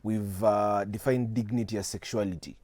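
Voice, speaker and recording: A man's voice: on the low side, fairly even intonation, a crowd in the background. A nineteen-year-old man with a Kenyan accent, a guest speaking earnestly and steadily in a studio interview. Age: teens. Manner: earnest, measured, mixing in Swahili slang.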